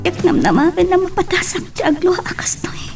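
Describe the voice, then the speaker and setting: A young wife with a high voice, long-suffering and hurt as acted by a woman. The delivery is melodramatic Ilocano radio drama. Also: animated, fast, whispered, over music. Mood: wounded, pleading.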